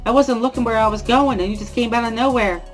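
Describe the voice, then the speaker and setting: A man voicing a small, energetic teenage girl with a high-pitched, flustered, apologetic way of talking in a visual novel reading. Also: soft background music. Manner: flustered, rapid apologies and excuses.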